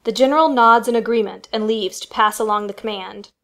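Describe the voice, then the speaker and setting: A woman's voice: fairly high pitch, fairly quick, fairly bright tone. A woman reading scripted, dramatic narration for a family radio play.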